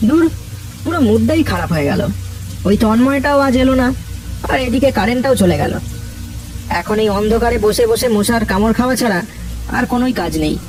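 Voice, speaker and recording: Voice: mid-range voice, animated, fairly quick, pauses often, far from the mic, faint music behind, lightly acted, rough recording. A narrator voicing an easygoing young male college student, chummy and colloquial, in a cartoon ghost story. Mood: grumbling.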